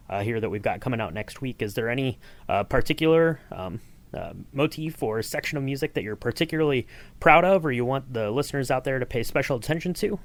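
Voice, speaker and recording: A man hosting a podcast, conversationally putting questions to his guests. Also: medium pitch. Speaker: podcast host, interviewer.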